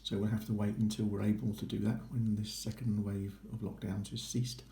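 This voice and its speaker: Low, even-toned man, roomy sound. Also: a little fast, vocal fry. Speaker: vlogger documenting a boating course. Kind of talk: casual explanatory walkthrough. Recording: roomy echo with faint noise.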